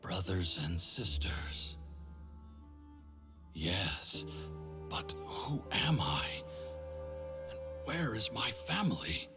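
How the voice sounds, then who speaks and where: A dying warrior spirit, super slow and gravelly, pitch all over. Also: male voice, very animated, music in the background, full character voice. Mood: weak, confused. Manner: slow, halting and fading. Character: dying male warrior spirit, confused and gentle. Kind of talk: video game voice acting.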